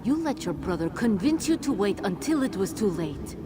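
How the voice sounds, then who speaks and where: An actress playing a wise, commanding female jinn in a video game, speaking in a measured, formal, grave manner. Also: medium pitch, very animated, background noise, close to an everyday voice. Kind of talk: video game cutscene acting.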